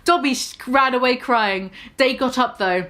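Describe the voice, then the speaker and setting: A woman's voice: high voice, moderate pace, fairly bright tone. A young woman giving a mock-dramatic reading of a fan story, swearing and breaking off to comment on the text. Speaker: reader.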